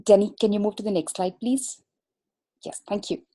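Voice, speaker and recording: A woman with a medium-pitched voice gives an instructional webinar talk. She explains each point in a measured, formal way, as an expert presenter. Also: fairly even intonation, warm tone.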